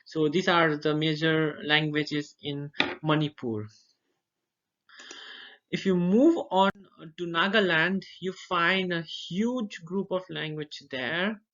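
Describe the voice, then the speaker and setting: A young man from Darjeeling, India, whose first language is Nepali, giving an informal educational presentation and listing items one by one, pausing to recall names. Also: a little high, fairly bright tone. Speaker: student presenter. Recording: dull lo-fi recording.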